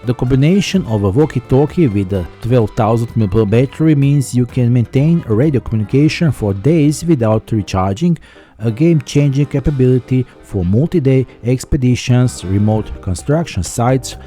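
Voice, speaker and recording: Male tech reviewer, scripted voice-over, deeply mellow and dark tone, light music bed underneath. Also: lively intonation, moderate pace, husky. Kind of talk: scripted product review narration.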